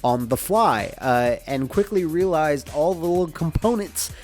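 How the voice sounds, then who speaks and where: A man's voice: a little high, animated, unhurried, darkish tone. Casual man narrating over music. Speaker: game developer and narrator. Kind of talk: informal scripted narration of a behind-the-scenes explainer. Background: music under the voice.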